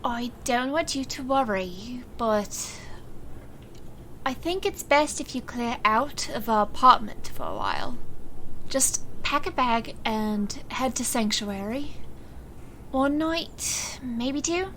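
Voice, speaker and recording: Woman playing a slow-spoken, guarded, composed woman. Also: on the high side, very animated, a bit bright, slight background noise, full character voice, low-quality recording. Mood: calm, reassuring. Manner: measured, composed. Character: calm, guarded, secretive woman in charge, dryly protective. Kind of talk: audio drama acting.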